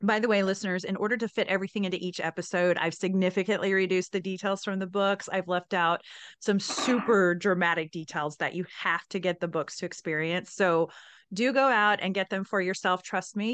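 A woman's voice: on the low side, fairly bright tone, no echo. A woman podcast host chatting in a folksy, conversational way and speaking directly to her listeners. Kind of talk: conversational podcast introduction to story time.

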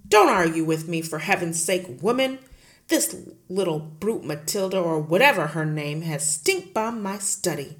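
A woman voicing a huge, bullying headmistress who shouts, barks and sneers at everyone. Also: fairly low pitch, expressive, unhurried. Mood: blustering. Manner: shouting, barking, scornful.

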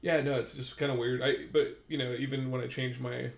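A man's voice: mid-range voice, little pitch movement, brisk, vocal fry, echoey room. A man chatting casually as a podcast guest, rambling with lots of fillers and restarts and casual swearing. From Greek-American, raised in Greece, Netherlands and South Carolina. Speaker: author guest.

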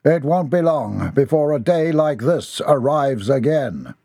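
Man doing a solemn, ominous clan leader, raised pitch. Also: expressive, a little slow, creaky, dark tone, breathy edge, no echo, clean studio sound, exaggerated voice. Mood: prophetic. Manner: portentous, declamatory. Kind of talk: storybook character voice.

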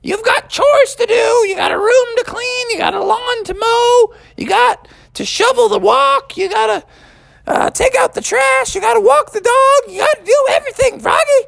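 Man doing a shrill nagging mother frog. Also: normal speed, creaky, fairly bright tone, exaggerated voice. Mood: hollering. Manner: shrieking, scolding.

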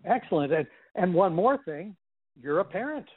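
A man's voice: high-pitched, sing-song, normal speed, husky. A man asking questions conversationally as an interviewer on a podcast. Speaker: interviewer, former school superintendent. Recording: dry, tinny phone line.

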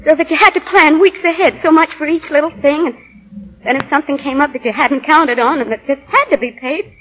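An actress playing an anxious, genteel young wife from the American South in a 1940s radio drama. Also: female voice, sits high, a little fast, fairly bright tone. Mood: pleading. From Southern United States. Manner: flustered, pleading. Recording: muffled vintage 1940 radio recording.

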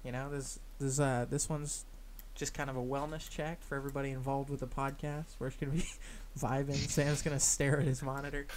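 A young man hosting a relaxed podcast among friends. He jokes constantly and laughs between his lines. His pitch sits in the ordinary middle range for a man. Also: a little fast, slight background noise. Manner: joking, laughs often. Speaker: podcast host. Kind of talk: casual group podcast chat.

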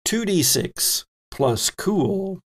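A man narrating a tabletop roleplaying game as game master, halting as he builds dramatic descriptions. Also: high-pitched, sing-song.

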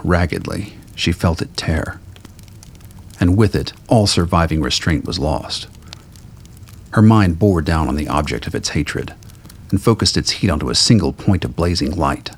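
A man reading scripted dramatic fiction as a narrator, with a gravelly, husky voice. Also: extremely low pitch.

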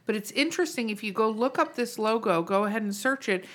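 A woman's voice: a little high, lively intonation, fast. Blunt, teasing woman. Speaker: podcast host. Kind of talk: casual chat.

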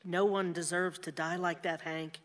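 An actress in a radio play voicing a fed-up, outspoken American wife who speaks in an emphatic, pleading way. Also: female voice, on the low side, level tone, moderate pace, close to an everyday voice. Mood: weary, cutting. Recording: long hall reverb.

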